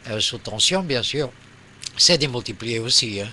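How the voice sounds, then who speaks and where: Male radio hobbyist, bright forceful voice, loose conversational rambling that hunts for words, faint background noise. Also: mid-range voice, animated, moderate pace. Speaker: amateur radio operator. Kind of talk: casual radio chat.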